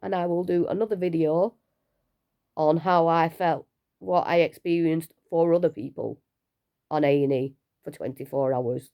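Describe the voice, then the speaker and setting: A woman, unwell and low on energy, talking casually and rambling a little in a personal vlog from home. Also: steady tone, normal speed, lo-fi sound. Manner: chatty, rambling, self-deprecating with small laughs. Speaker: vlogger sharing her cancer treatment.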